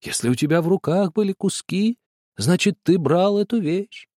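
A man's voice: high voice, expressive, normal speed, a little mellow, no echo, studio quality, voice pushed up. A man doing a stern, scolding father. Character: stern, angry father, middle-aged man.

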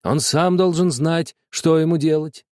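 A man's voice: on the high side, steady tone, measured pace, darkish tone, no room sound, studio quality, voice pushed up, lightly acted. A man doing a stern, scolding father. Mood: severe. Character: stern, angry father, middle-aged man.

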